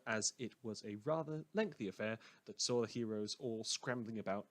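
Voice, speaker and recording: A man playing an eccentric, self-important community radio newsreader who delivers comedic news in a theatrical, deadpan, rambling way. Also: on the low side, natural voice.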